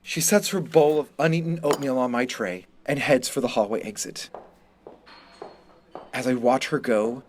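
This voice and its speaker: A man reading a first-person story as a wounded, resentful high school student, in scripted narration. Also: slight background noise. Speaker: reader of a short story.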